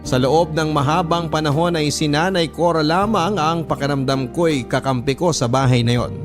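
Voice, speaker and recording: A man's voice: on the high side, normal speed. A Filipino man reading a heartfelt first-person story as a radio drama narrator. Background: soft music.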